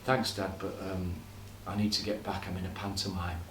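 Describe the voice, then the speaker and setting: A man's voice: low voice, little pitch movement, normal speed, a bit bright, a little hiss or hum. A man speaking in a subdued, hesitant way to his father. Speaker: actor. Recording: roomy and distant.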